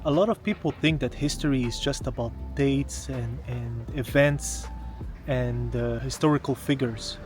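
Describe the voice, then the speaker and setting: A man's voice: medium pitch, a little slow, a little mellow, faint music behind. A man from Canada talking to the camera in a casual explanatory vlog, earnest and emphatic, often posing rhetorical questions. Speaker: vlogger, history content creator.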